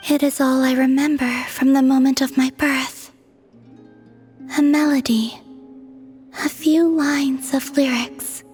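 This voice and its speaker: A woman voicing a serene, melancholy young moon goddess, breathy and whispery, speaking softly and poetically in a video game dub. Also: high-pitched, little pitch movement, soft background music. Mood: wistful. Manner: soft, slow and poetic.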